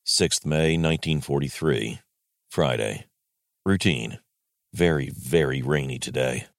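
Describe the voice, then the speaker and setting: A man with an American accent reading as a wartime army general, in a clipped, matter-of-fact way with a gravelly voice. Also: rumbling low, dry recording, studio quality. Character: male US Army general writing a terse wartime diary. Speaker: actor reading a wartime diary. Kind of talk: dramatic diary reading.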